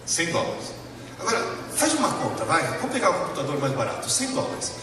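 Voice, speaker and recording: Animated, high-voiced male lecturer in an echoey hall. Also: over music. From Italy. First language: Italian. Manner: emphatic, with dramatic pauses and repetition. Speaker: professor giving a lecture. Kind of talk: motivational educational lecture to a live audience. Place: large lecture hall with an audience. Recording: distant mic, echoey noisy hall.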